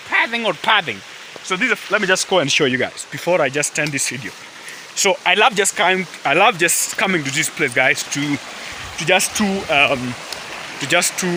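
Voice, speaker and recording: A man's voice: a little high, noisy background. A man whose first language is Swahili, rambling in casual talk to camera as a vlogger, full of fillers and calls to his viewers, outdoors by a forest river. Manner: rambling, filler-heavy, keeps addressing viewers as guys. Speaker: YouTube vlogger.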